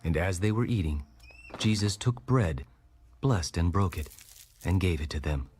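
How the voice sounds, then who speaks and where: A man narrating scripture from a script in a measured, solemn way. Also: low-pitched, a little hiss or hum. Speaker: Bible narrator. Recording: soft music behind the voice. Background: faint music.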